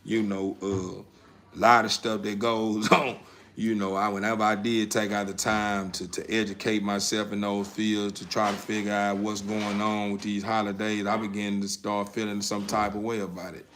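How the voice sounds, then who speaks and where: A man's voice: lowish voice, flat delivery, normal speed, fairly bright tone, slight background noise. An American man hosting a casual livestream, rambling in a folksy, encouraging way with repeated catchphrases. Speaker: livestream host of a dog breeder channel.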